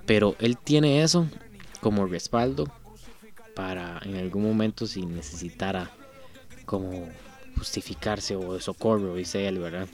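Earnest Costa Rican man, lowish voice. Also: measured pace, darkish tone, faint music behind. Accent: Costa Rican Spanish. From Costa Rica. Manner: earnest, thinks aloud with many restarts. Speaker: reaction video host. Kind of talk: casual commentary reading out lyrics.

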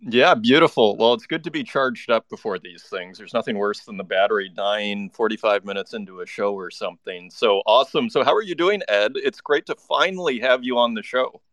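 A man's voice: lowish voice, a little fast, few pauses, fairly bright tone, no room sound. A man hosting a live online audio interview, chatty and enthusiastic, often restarting his sentences. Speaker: podcast host.

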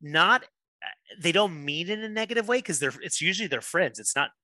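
A pastor talking openly as a podcast guest, quick to laugh at himself. His voice sits on the higher side and sounds bright and forceful. The sound is close and dry, with no room around it. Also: lively intonation, no echo. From Miami, USA. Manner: candid, self-deprecating, quick to laugh. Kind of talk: casual interview conversation.

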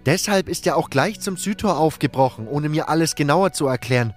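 A man's voice: fairly high pitch, lively intonation, faint music behind, pitched above the performer's own voice, natural voice. A man voicing a young male mage in an audiobook, panicked and grief-stricken, stammering as he speaks. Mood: shaken, anxious. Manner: stammering, hysterical, halting. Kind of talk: audiobook character acting.

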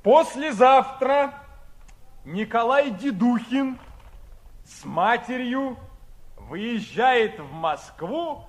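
A man's voice: extremely high pitch, lively intonation, extremely slow, long pauses, exaggerated voice. An actor playing a boisterous, joking young man, theatrical and playful, in a 1960s radio drama. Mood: reading out. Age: young adult. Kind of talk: 1960s Soviet radio play acting.

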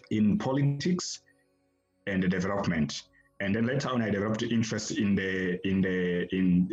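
A man from Tanzania, answering podcast interview questions as an academic guest in a halting way, often repeating words as he starts a phrase. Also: deep voice, highs cut off.

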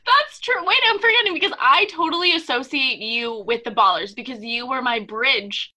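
A young woman in her twenties hosts a casual podcast, gushing and enthusiastic. Her voice is bright, with a clear, forward edge, and sits a little high. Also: moderate pace. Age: 20s. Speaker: podcast host.

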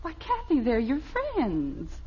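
An actress playing a middle-aged mother, anxious, gentle and doting, in an old family radio comedy. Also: sits high, huge pitch swings, takes it slowly, full character voice. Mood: tender. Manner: fretful, tender. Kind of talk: 1950s American radio family comedy acting. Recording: dull, faintly noisy old radio recording.

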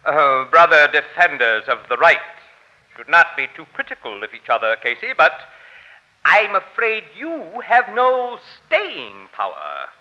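An actor playing a prim, mild-mannered amateur sleuth who talks in a formal, pompous way in a 1940s radio drama. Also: male voice, fairly high pitch, huge pitch swings, a little slow, sharp tone, exaggerated voice. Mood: gently reproving. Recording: dull vintage radio recording.

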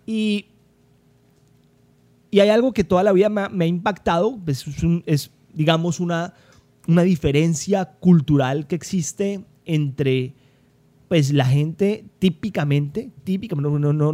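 A young man from Cali speaks with a Colombian accent, slightly high for a man yet mellow and dark in tone. He talks emphatically and conversationally, often circling back over his own words as he hosts a solo podcast. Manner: emphatic, conversational, repeats words while thinking. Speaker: podcast host.

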